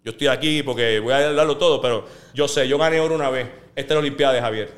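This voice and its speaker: Fast-talking Puerto Rican journalist man. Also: mid-range voice. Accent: Puerto Rican Spanish. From Puerto Rico. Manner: animated, fast storyteller who acts out quotes. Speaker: sports journalist guest. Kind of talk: interview storytelling.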